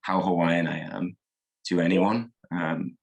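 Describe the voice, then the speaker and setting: A man in his thirties with a slightly hoarse voice, reflecting at an easy pace in a casual group discussion, with many fillers. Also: deep voice, steady tone, takes it slowly. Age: 30s. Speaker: discussion participant.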